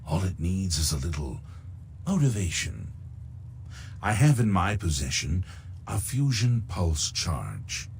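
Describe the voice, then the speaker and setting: An actor playing a suave, sinister middle-aged recruiter in a video game, speaking in a pompous, unctuous and deliberate way. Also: male voice, sits low, very animated, vocal fry, slight background noise, exaggerated voice. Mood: conspiratorial, persuasive. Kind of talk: theatrical video game dialogue.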